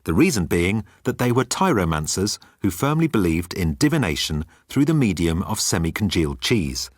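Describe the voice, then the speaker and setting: Low-voiced man narrating, lively and dry-sounding. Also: moderate pace, no echo. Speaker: audiobook narrator. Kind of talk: scripted comic science fiction narration.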